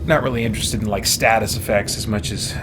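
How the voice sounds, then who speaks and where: A man's voice: fairly low pitch, steady tone, quick pace. A man giving casual let's play commentary as a gaming host, musing and thinking aloud as he goes. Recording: clearly noisy background ambience.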